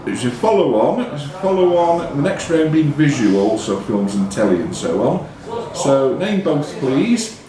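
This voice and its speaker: A man serves as quiz master, reading questions and calling on players. His voice sits slightly high and moves around a lot in pitch, in a very reverberant room. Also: very animated, measured pace, few pauses. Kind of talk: reading quiz questions aloud and ruling on answers. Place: quiz tournament in a pub. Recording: very echoey room with pub crowd.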